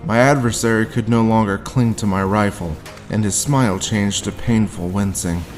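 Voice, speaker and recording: An actor playing a grim, haunted war veteran, telling his story deliberately in a noir audio drama. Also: fairly low pitch, fairly even intonation, soft background music, close to an everyday voice. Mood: grim, tense. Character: haunted hard-boiled male war veteran recounting his grim past.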